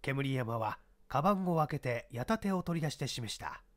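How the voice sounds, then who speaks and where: A man's voice: medium pitch, expressive, moderate pace, fairly bright tone, dry recording, studio quality. A man reading scripted narration of a mystery story as its narrator.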